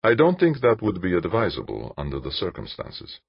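A man voicing a smug, menacing male captor who speaks slowly and condescendingly. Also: low-pitched, wildly swooping pitch, creaky, slightly rough, dry recording, muffled recording, pitched above the performer's own voice, exaggerated voice.

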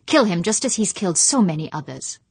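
A woman's voice: fairly low pitch, sing-song, a little fast, a little mellow, slightly rough, no echo, heavily acted. A woman voicing a bitter, enraged husband who snaps and growls his lines in an audiobook. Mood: furious. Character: furious middle-aged male husband.

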